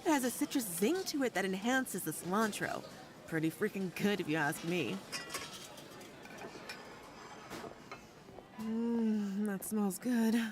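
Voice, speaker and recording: A woman acting a breezy, teasing, loyal fighter in a sci-fi audio drama, speaking in a casual, slangy, expressive way. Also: mid-range voice, moderate pace, music in the background, lightly acted. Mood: friendly. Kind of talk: naturalistic audio drama dialogue.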